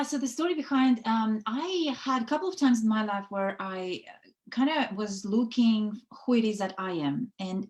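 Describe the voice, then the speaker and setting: A woman born in the Soviet Union, Russian her first language, talking on a video call. Her voice sits at a mid pitch. She rambles in a friendly way and fills the gaps with "um" and "you know". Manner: many fillers and restarts, chatty. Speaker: guest, network founder. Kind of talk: casual conversation.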